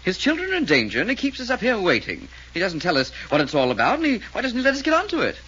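A man playing an excitable, refined English gentleman detective with a British accent in an old radio mystery, plummy and theatrical. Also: high voice, fast, breathy edge, exaggerated voice. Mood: indignant, alert. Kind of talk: 1940s radio mystery acting. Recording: dull, noisy old radio recording.

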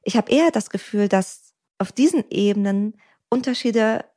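A woman's voice: mid-range voice, lively intonation, moderate pace, dark tone. A woman therapist in a podcast conversation, speaking reflectively, with frequent ums and everyday examples. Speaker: couples therapist.

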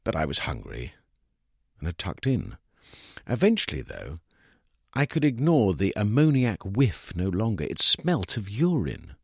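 A man narrating a literary short story in the first person, with a dry, aggrieved, self-justifying and expressive delivery. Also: on the low side, a little slow, slightly rough, dry recording.

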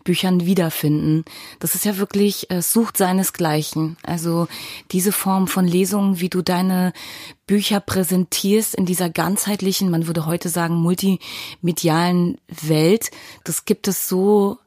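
A woman's voice: lowish voice, steady tone, a little slow, warm tone, no echo, studio quality. A woman hosting a podcast interview, speaking conversationally and reflectively, with appreciative comments for her guest. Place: studio.

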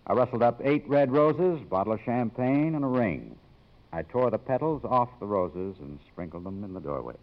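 Male actor as jilted wisecracking photographer, American accent, animated delivery, dull vintage radio recording. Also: medium pitch, normal speed, natural voice. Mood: wry, wistful. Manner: sardonic, self-assured. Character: brash, wry magazine photographer, jilted suitor. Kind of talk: 1950s radio drama acting.

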